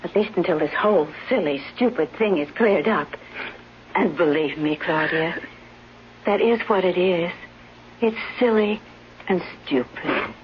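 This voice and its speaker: A woman acting a brisk, protective nurse companion in a 1970s radio drama, reassuring and no-nonsense. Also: on the low side, close to an everyday voice. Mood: firm reassurance. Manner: no-nonsense, dismissive of fears. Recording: dull vintage radio broadcast, faint noise.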